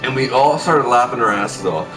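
A man's voice: medium pitch, lively intonation, moderate pace. Brash young man, distant mic over music. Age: teenager or young adult. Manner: brash, sweary, repeats himself. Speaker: vlog host telling school stories. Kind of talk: casual storytelling chat. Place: small bedroom, indoors. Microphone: camera mic at a distance.

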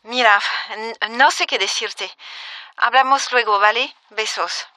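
Woman as brisk, bright, high-voiced confidante. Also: no echo, poor audio. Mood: urgent, purposeful. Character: brisk, purposeful woman directing a friend by phone. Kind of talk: radio drama phone dialogue.

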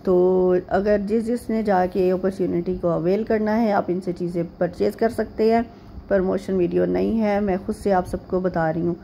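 A middle-aged woman from Pakistan talks through her day in a homely, rambling voice-over full of pauses and fillers. Her voice is warm, mellow and slightly gravelly. A faint hiss of noise sits behind her. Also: mid-range voice, fairly even intonation, poor audio. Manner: homely, chatty tone. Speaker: vlogger. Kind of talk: casual chatty voice-over narration.

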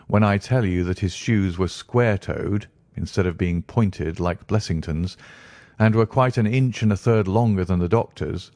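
A calm, incisive detective lays out his reasoning in a low, measured voice. A man reads him in a dry, close audiobook recording. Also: dry recording, close to an everyday voice. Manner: measured, precise, cool.

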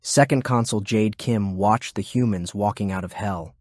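A man's voice: on the low side, normal speed, darkish tone, dry recording, studio quality. A man reading scripted war fiction as an audiobook narrator.

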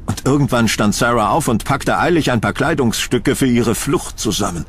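A man narrating a scripted horror audio drama in a suspenseful, deliberate storytelling manner. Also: mid-range voice, a little hiss or hum.

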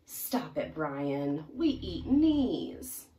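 A woman's voice: low-pitched, big pitch swings, mellow, voice pushed down, full character voice. Lady doing a fed up piranha from a kids book, scolding, draws words out, bit far from mic. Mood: exasperated. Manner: scolding, drawn-out emphatic punchlines. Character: indignant piranha friends, exasperated meat-loving fish. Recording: roomy, distant mic, faint noise.

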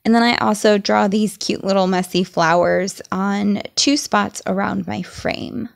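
A young woman narrating a journaling tutorial in a chatty, explaining way, her voice a little raspy as she is losing it. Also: mid-range voice, steady tone, unhurried. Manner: chatty, enthusiastic, explains each step. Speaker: journaling vlogger. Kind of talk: voice-over craft tutorial.

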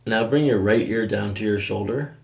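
A middle-aged man working as a chiropractor, giving calm, short, soothing instructions during an ASMR treatment. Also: lowish voice, moderate pace, gravelly. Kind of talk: hands-on treatment talk, ASMR. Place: small office treatment room. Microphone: microphone on a boom nearby.

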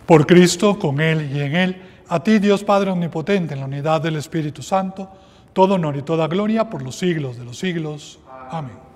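A middle-aged man, a priest, reciting the prayers of Mass in a slow, solemn way. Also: fairly high pitch, a little mellow. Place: small chapel with altar. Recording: long reverb of a chapel.

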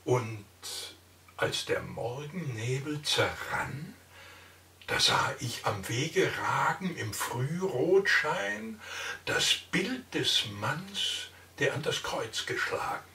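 An older man reading a long poem aloud in a theatrical, expressive way, indoors in front of the camera. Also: on the high side, takes it slowly, roomy sound, far from the mic. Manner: expressive, theatrical, varies loud and soft. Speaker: reader of a poem. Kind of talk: slow dramatic poetry reading. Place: indoor room, plain wall.

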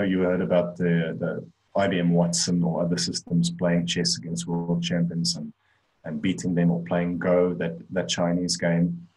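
Deep-voiced South African man presenting. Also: a little mellow, rough recording. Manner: conversational, with frequent restarts and fillers. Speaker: conference speaker on AI. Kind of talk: online presentation talk. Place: indoor room, on a webcam.

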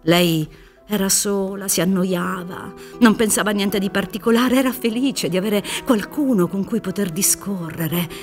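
An actress playing a relentless, insinuating public prosecutor in a radio drama, speaking with deliberate emphasis. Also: female voice, medium pitch, faint music behind, lightly acted. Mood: insinuating narration. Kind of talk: theatrical radio drama acting. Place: dark theatre stage set for radio recording. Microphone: boom-mounted studio microphone, headphones.

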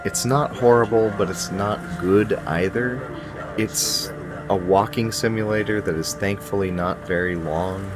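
Low-voiced man reviewing games over music. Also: measured pace. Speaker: video game reviewer. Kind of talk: scripted review commentary. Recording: music behind the voice.

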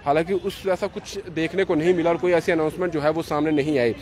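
High-voiced male street reporter from the field. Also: steady tone, fast talker, a little mellow. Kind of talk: live on-the-spot reporting, unscripted piece to camera. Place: outdoors on a roadside by a gate and flyover. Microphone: handheld reporter's microphone. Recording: street traffic noise outdoors. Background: street ambience and vehicles.

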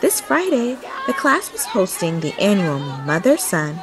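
Lady doing storytime for kids, really lively pitch swings, crowd noise behind her. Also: unhurried, music in the background. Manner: animated, acts out the dialogue. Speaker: storytime host and reader. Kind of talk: expressive children's picture book read-aloud.

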